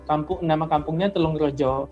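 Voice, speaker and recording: A man who used to be a tutor, a guest in a casual interview, explaining in a relaxed way with "eh" and "em" pauses. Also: fairly high pitch, muffled recording, faint music behind.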